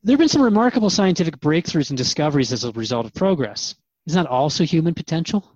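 An earnest human seeker played by a man in a radio drama, with a slightly high male voice. He questions in a conversational, sometimes halting way. The recording is dry and a touch dull. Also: sing-song, a little mellow, dry recording. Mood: earnest, reflective. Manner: hesitant, inquisitive, earnest.

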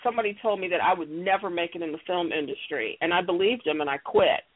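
A woman's voice: lowish voice, fast talker, breathy edge. A middle-aged woman giving interview answers in an emphatic way, repeating key phrases for stress. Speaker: interview guest, audio theater creator and company leader. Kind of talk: interview answers, explaining her success strategies. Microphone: telephone or call connection. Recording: muffled, band-limited phone line.

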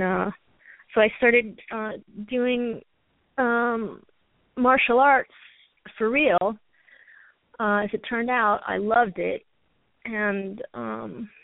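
An American woman, a native Chinese speaker, giving a conversational interview as a call-in guest, rambling haltingly and often repeating words. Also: mid-range voice, gravelly, dry recording. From New York, United States. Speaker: guest, actress and martial artist. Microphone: telephone call. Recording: dry, narrow phone line.

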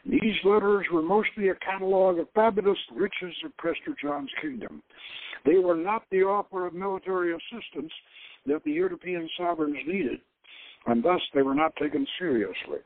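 A man's voice: high-pitched, normal speed. A man giving a dramatic reading of an adventure novel as a narrator, over a thin phone-like radio line. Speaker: host reading his adventure novel aloud. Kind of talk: dramatic audiobook-style reading.

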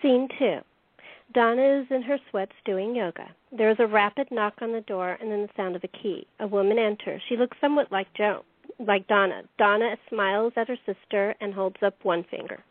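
A woman's voice: mid-range voice, normal speed, dry recording. Woman narrator on a tinny line. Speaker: host and narrator. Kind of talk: scripted radio play narration. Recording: dry, tinny phone-line audio.

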